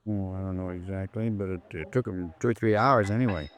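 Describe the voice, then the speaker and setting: A man's voice: deep voice, brisk, dry recording, lightly acted. An actor playing a plain-spoken American male bartender, talkative and folksy, in 1950s radio drama style. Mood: recalling. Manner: folksy, slangy, eager to explain.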